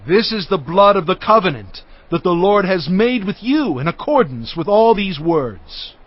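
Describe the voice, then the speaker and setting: A firm male leader in a scripture drama. His voice is pitched high and he declaims gravely. The recording is a little muffled. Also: big pitch swings, moderate pace. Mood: solemn, declaring.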